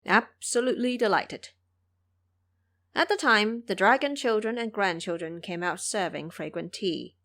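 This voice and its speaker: A woman's voice: medium pitch, normal speed, hardly any pauses, fairly bright tone. A woman narrator reading a scripted story in a dramatic storytelling style.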